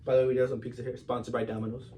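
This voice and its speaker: Tired, laid-back New co-host. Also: male voice, mid-range voice, little pitch movement, racing, mellow, a little hiss or hum. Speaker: podcast co-host. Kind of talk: casual chat. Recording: distant mic.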